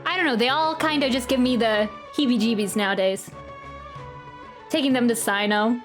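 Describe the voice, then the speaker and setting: Woman, high voice, slangy, playful, casual live gameplay commentary, light music behind. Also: normal speed. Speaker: streamer playing and reacting to a game. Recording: faint game music behind.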